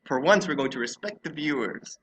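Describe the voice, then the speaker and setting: A man's voice: fairly high pitch, animated, brisk, fairly bright tone. A man hosting a casual podcast discussion in a teasing, mock-exasperated manner. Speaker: podcast host.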